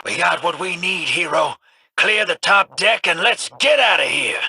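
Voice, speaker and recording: A wry roguish smuggler. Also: male voice, high voice, animated, moderate pace, gravelly, bright, forceful tone, slightly rough, heavily acted.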